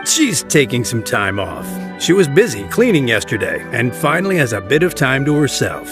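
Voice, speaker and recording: A man's voice: mid-range voice, big pitch swings, moderate pace, lightly acted. An actor voicing a polite, dutiful older male butler in a game cutscene, formal and courteous and coughing now and then. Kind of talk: video game cutscene dialogue. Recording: game audio with background music.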